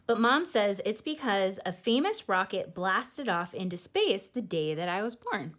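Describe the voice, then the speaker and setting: A woman voicing an excited, confident little girl who loves space, in an animated storybook read-aloud. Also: medium pitch, measured pace. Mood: proud, dreamy.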